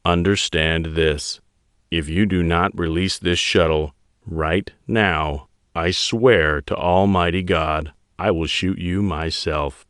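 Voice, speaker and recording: A man voicing a stern, commanding navy admiral who speaks in slow, icy, clipped orders. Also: sits low, fairly even intonation, fairly bright tone, clear, resonant tone, no echo, studio quality. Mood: threatening. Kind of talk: audiobook character voice.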